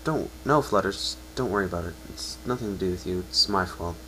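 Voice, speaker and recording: A low-pitched, downcast young pegasus from a fan-fiction reading, played by a man. He speaks earnestly and haltingly, blaming himself. The recording has faint noise. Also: bright, forceful tone, rough recording. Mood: dismissive.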